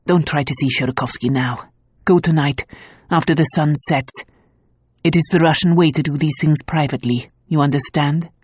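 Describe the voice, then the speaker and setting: A man's voice: fairly high pitch, steady tone, normal speed, a little mellow, breathy edge, no echo, clean studio sound. A man reading an audiobook, voicing a proud, bitter nobleman who speaks in a cool, cutting way. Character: Nicholas, a proud, bitter Russian prince.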